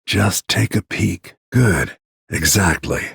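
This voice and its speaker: An actor playing a grim, weathered middle-aged royal bodyguard turned assassin in a video game, gravelly and husky, brooding and terse. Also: male voice, sits low, slow, a bit bright, dry recording, studio quality, exaggerated voice. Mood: calm, stern.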